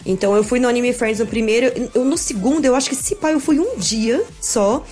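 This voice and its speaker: A woman on a casual podcast talks in a laid-back, slangy way. Her voice sits a bit high, with faint music playing underneath. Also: brisk. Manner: slangy, relaxed.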